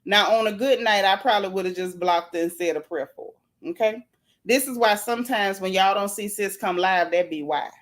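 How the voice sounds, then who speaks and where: A woman hosting a casual live gossip stream, reading viewer comments aloud and reacting in a blunt, sassy, animated way. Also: mid-range voice, a little fast. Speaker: livestream gossip host.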